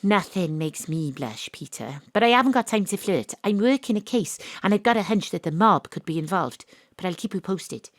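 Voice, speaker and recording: An actress playing a wry, world-weary private detective, deadpan and confiding, in noir audio drama style. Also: female voice, lowish voice, normal speed, no echo, clean studio sound, lightly acted. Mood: dry, teasing. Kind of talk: noir detective narration and dialogue.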